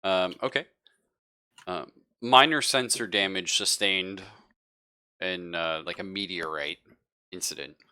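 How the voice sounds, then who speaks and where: Low, bright-voiced man running a tabletop game. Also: slow, pauses often, smooth. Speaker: game master. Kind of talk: improvised narration of a tabletop roleplaying game.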